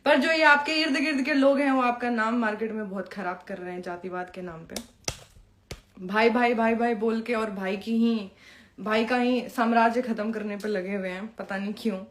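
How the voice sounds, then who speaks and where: A woman's voice: on the high side, fairly even intonation, normal speed. A young woman in an informal live-stream monologue, confrontational and taunting, mixing in English phrases. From Bihar, India. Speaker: Bhojpuri performer addressing followers on a live stream.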